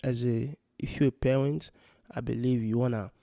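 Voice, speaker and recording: Man, gravelly voice, dark tone, dry close mic, earnest rambling vlog talk. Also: medium pitch, steady tone, moderate pace, dry recording. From Congo. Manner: rambling, earnest, many fillers like "you know". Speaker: vlogger giving parenting advice. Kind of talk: casual spoken vlog monologue. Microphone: handheld or close mic.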